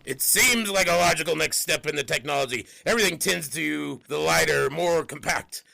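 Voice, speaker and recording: An actor playing a brash, gadget-loving newspaper editor-in-chief with emphatic sales patter, in an old-time audio drama. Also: male voice, on the high side, moderate pace, gravelly, sharp tone, exaggerated voice, low-quality recording. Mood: excited, boastful.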